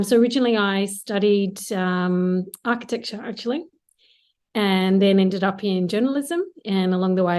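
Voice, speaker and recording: A woman's voice: on the low side, darkish tone. Australian woman, discursive interview guest. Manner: discursive, talks in long lists of clauses. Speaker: guest, marketing executive. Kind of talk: conversational interview answers.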